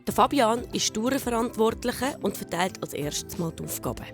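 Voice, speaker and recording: A woman's voice: a little high, expressive, brisk, soft background music. A woman with a Swiss German accent, presenting and narrating a scripted children's documentary.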